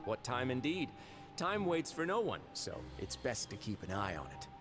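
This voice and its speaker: An actor playing a composed, authoritative prison duke in a video game, speaking in a measured, formal, wry way. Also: male voice, medium pitch, wildly swooping pitch. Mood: calm. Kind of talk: game voice acting. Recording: loud game music behind.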